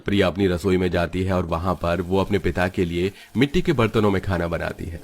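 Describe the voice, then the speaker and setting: A man's voice: sits low, fairly quick. A man reading scripted narration for a children's moral story.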